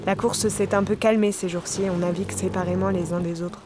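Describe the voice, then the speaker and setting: A woman playing a weary solo sailor in an audio fiction, speaking her logbook in a measured, terse, introspective way. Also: medium pitch, fairly even intonation, close to an everyday voice. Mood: sober, tense. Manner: measured, terse, introspective in the log; colloquial on radio. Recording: loud vehicle-like ambient noise behind.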